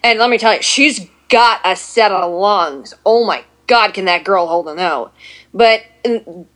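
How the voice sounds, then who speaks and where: A woman with a deeper voice for a woman, chatting at length as a guest in a casual talk. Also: measured pace, a bit bright. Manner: chatty, self-deprecating, talks at length. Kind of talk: casual chat.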